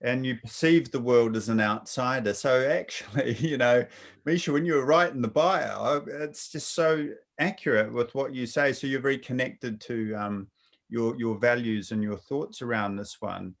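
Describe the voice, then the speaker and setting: A man's voice: mid-range voice, normal speed, vocal fry. A man hosting a casual conversation, reflecting at length and rambling through his points. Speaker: interviewer. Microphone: video call.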